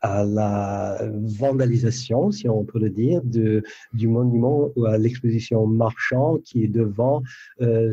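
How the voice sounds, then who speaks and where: Very dark, husky male academic, dull recording. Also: mid-range voice, no echo. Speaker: history professor, guest. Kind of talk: explanatory interview answer. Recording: dull lo-fi line, dry sound.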